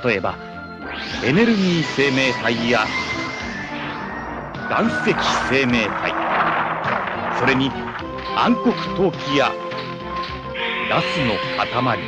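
Fantasy anime teacher, earnest, polite, lively intonation, loud music behind. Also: male voice, mid-range voice, slow pace, pauses often. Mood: earnest, expository. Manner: polite, explanatory lecturing. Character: earnest, scholarly male mentor in fantasy anime.

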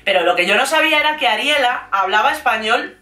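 A woman with a Castilian Spanish accent chatting casually and playfully as an online host, in an animated, gossipy, slangy way. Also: a little high, quick pace, reverberant, soft background music. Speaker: YouTube host recapping reality TV. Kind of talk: casual, chatty vlog commentary.

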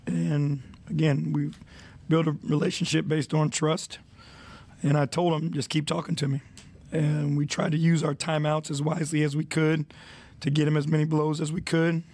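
A man in his forties, a head coach answering reporters at a postgame press conference, speaking into a desk mic in an arena press room, measured and reflective with many fillers. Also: on the high side, level tone, creaky, a little mellow.

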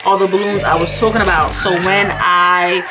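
A woman's voice: on the low side, fairly even intonation, normal speed, over loud music, low-quality recording. Chatty young woman vlogging at home. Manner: chatty, rambling, laughs often. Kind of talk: casual cleaning vlog chat. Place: small studio apartment indoors. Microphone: phone camera close up.

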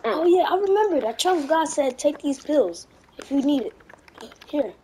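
A woman's voice: high-pitched, animated, moderate pace, warm tone, a little hiss or hum. A woman playing a whiny, eager young son in training in an amateur anime game roleplay. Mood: concerned.

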